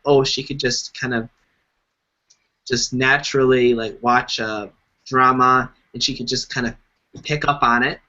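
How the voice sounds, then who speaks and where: A man's voice: mid-range voice, pushed and bright. A man hosting a casual discussion, framing questions hesitantly with many ums. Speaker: host. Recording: dull lo-fi live stream audio.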